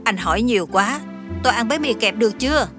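A woman's voice: fairly high pitch, expressive, fast talker, over music, natural voice. A woman playing a naive, earnest young alien wish-granter in dubbed fantasy film dialogue, blunt and innocently literal. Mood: matter-of-fact, impatient.

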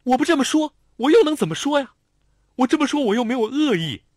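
A man's voice: high-pitched, big pitch swings, moderate pace, no echo, studio quality, pitched above the performer's own voice, exaggerated voice. A man voicing a lovesick, insistent young woman, the daughter of a rich man, in a pleading, raised voice for an audiobook. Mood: shouting. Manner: pleading, raised, near shouting. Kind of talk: audiobook character voice.

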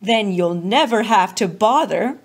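Woman voicing a slow, menacing hungry storybook lion. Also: mid-range voice, lively intonation. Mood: calm menace. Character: hungry male lion, calm, menacing, coaxing. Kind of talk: children's storybook reading.

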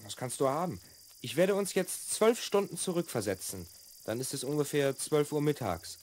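Man playing a lively, self-assured boy time traveler. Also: on the high side, moderate pace, a little hiss or hum, natural voice. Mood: explanatory, assured. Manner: explanatory, instructing, assured. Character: confident twelve-year-old boy time traveler from the future. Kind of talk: 1970s German children's audio drama acting.

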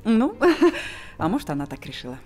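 An actress in her forties playing a poised, bitter abandoned wife in a film drama, with a measured, theatrical delivery. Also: low-pitched, sing-song, darkish tone, soft background music. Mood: bitter, ironic. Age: 40s.

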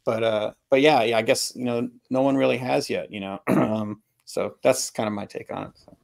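A middle-aged man talks casually about music over a video call from a room at home. His delivery is halting and opinionated, with frequent throat clearing, at an ordinary male pitch. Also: fairly even intonation, a little mellow. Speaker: album review panelist. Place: home room with records, indoors.

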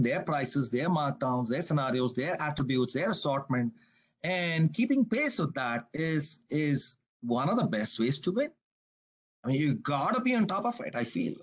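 Brief: man, webinar presenter on a video call, brisk and casual, voice sits a bit high. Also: breathy edge. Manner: brisk, conversational, frequent "you gotta". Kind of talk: business webinar talk.

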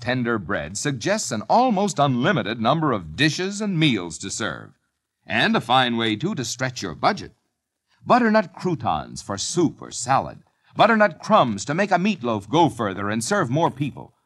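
A man delivering a 1950s radio commercial in a steady selling tone. His pitch is medium and swoops widely through every phrase. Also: moderate pace. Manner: warm selling pitch, very sing-song.

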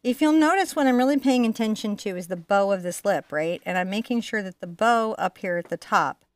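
A woman's voice: medium pitch, expressive, fairly quick, dry recording. Female painting host, middle-aged, chatty and informal, prone to wandering asides, closely recorded with no room sound. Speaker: host teaching a live painting class. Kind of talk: casual chat and painting demonstration. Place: indoor art studio.

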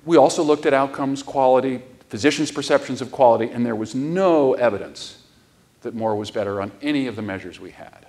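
A man's voice: mid-range voice, a little fast. Earnest man giving a keynote. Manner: earnest, explanatory, steady with frequent emphatic stresses. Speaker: keynote speaker. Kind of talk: conference keynote lecture. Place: large conference ballroom stage.

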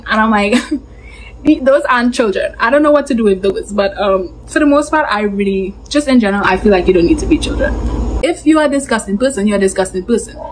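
A young woman with a Caribbean English accent, vlogging her opinions in an outspoken, animated way, full of "like", from a small bedroom. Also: medium pitch, slight background noise, low-quality recording. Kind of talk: casual opinion vlog.

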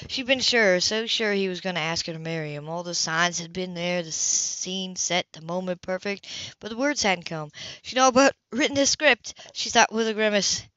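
An amateur audiobook reader with a bright, mid-pitched voice reads a romance novel aloud. The delivery sometimes stumbles over a word and goes back. The recording is dry and slightly muffled. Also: normal speed, clean tone, dry recording. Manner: stumbles and rereads words. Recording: dull lo-fi home recording.

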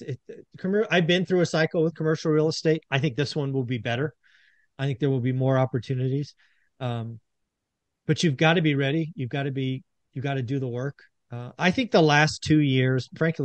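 A man's voice: mid-range voice, no room sound. A middle-aged man hosting a casual investing talk from a home studio, earnest and rallying, speaking to his viewers. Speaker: podcast host. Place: home studio with shelves, indoors.

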